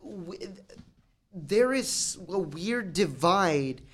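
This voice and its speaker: A man from the United States talking in a casual discussion, animated and acting out quoted exchanges. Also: high voice, very slow.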